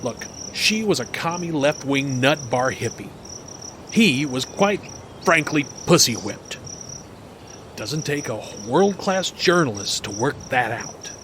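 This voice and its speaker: Podcast drama male actor: blustering, scornful old-newsman type, unhurried delivery, slight outdoor background noise. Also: mid-range voice, lively intonation. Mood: belligerent, scornful. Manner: dismissive, pompous, insulting. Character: crass, belligerent right-wing ex-TV reporter, a man, golfing. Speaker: former roving news reporter. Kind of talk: acted podcast drama dialogue. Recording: noisy outdoor ambience with insects.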